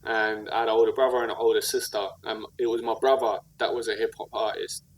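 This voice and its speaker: A man's voice: on the low side, little pitch movement, fast talker, rough recording. Earnest South London man talking slangily. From South London, England. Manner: earnest, slangy, with repeated "like" and "you know what I mean". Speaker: podcast guest, rapper and community activist. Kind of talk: conversational interview, reflective storytelling.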